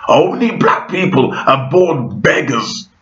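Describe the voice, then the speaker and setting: A man with a deep, bassy, harsh voice, talking to camera at home in a hesitant monologue full of ums that grows heated. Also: big pitch swings, a bit bright. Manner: slow and hesitant with frequent ums, rising into heated ranting. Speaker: vlogger. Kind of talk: unscripted opinion monologue. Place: at home with pet rabbits nearby. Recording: echoey room, dull lo-fi sound.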